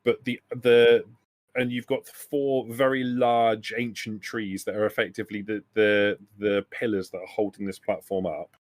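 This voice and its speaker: A man acting as game master, improvising descriptions of scenes for a tabletop roleplaying game in a halting way. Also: lowish voice, flat delivery, no room sound.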